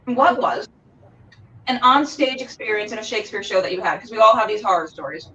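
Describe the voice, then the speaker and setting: A woman's voice: mid-range voice, lively intonation, brisk, sharp tone, a little hiss or hum. A woman hosting a casual, chatty interview, enthusiastic and approving in how she responds. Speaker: host interviewing a theater company. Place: remote video call. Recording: dull, distant-mic video call.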